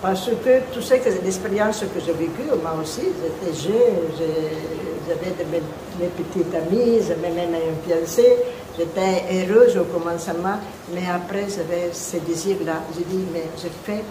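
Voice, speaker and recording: An older Italian-accented nun speaks in a fairly low voice, telling her vocation story slowly and haltingly, often restarting her phrases. The room is echoey, and heavy rain drums steadily in the background. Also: noisy background. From Italy, Venice region. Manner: halting, searching for words, many restarts. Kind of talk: personal testimony in interview.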